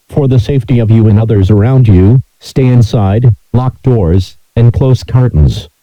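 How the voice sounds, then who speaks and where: Dark, muffled male emergency announcer. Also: on the low side, no room sound. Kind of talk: scripted emergency broadcast announcement.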